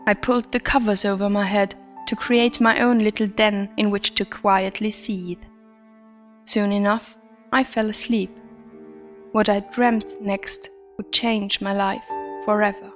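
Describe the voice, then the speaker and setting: A woman playing a troubled adult narrator confessing a twisted, attention-starved childhood in a deliberate horror story reading. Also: medium pitch, little pitch movement, lo-fi sound, lightly acted. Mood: frustrated, seething, then ominous. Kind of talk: scripted first-person horror story narration. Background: background music.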